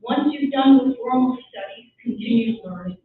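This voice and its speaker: A woman's voice: a little high, normal speed, a little hiss or hum. A middle-aged woman from Syria, a native speaker of Armenian, giving an earnest, emphatic gala keynote with rhetorical questions. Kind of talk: keynote speech. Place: large event hall. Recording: distant, echoey, phone-like hall audio.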